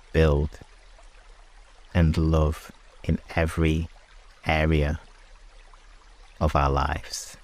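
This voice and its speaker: A man hosting a sleep meditation, speaking in short, hushed phrases with long pauses between them, as in guided prayer. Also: extremely low pitch.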